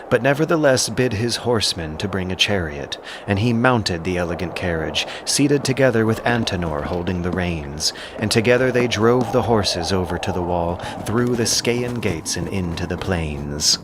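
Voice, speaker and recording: A man's voice: low voice, lively intonation, normal speed, few pauses, background noise. A man narrating an epic tale in a scripted storytelling style.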